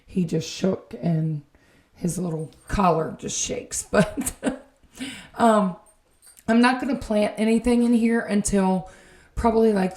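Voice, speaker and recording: A woman narrating a home garden vlog late at night, slightly raspy and tired, rambling with many ums and self-corrections. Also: on the low side, a little mellow, echoey room. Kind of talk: late-night voiceover, casual chat. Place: indoor room at home, voiceover.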